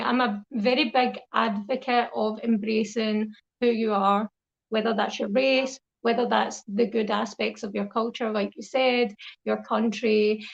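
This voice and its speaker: Creaky-voiced woman with thick Scottish accent. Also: on the high side, muffled recording. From Scotland. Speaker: guest, online content creator and poet. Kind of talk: casual interview.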